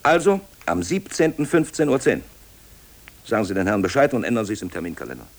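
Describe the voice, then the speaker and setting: An actor playing a hurried, commanding male company director with a rough, husky voice in a radio play. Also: fairly low pitch, big pitch swings. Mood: brisk, commanding. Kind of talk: German radio play acting.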